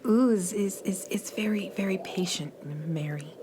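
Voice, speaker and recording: A woman's voice: mid-range voice, unhurried, noisy background, close to an everyday voice. A woman playing a shy, gloomy but kindly young alien schoolgirl in a children's audio drama, stammering and repeating her words. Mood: shy, nervous. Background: music.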